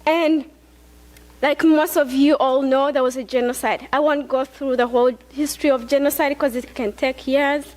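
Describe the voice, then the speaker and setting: Young woman from Rwanda, high voice. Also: fairly even intonation, moderate pace, darkish tone. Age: young adult. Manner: earnest, conversational, with frequent fillers and restarts. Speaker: student speaker. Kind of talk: personal talk on stage. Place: large dark auditorium stage. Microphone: headset.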